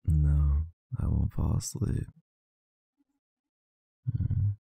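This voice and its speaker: Deep, gravelly man murmuring softly. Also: fairly even intonation, extremely slow, no room sound. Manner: soft, intimate, affectionate murmuring. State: tired. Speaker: ASMR roleplay performer. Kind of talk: ASMR boyfriend roleplay.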